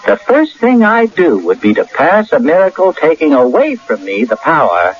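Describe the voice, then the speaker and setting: A man's voice: a little high, huge pitch swings, normal speed. An actor playing a polite, self-assured skeptical everyman in an old radio drama, talking conversationally. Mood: cocky, skeptical. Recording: dull lo-fi radio recording with music.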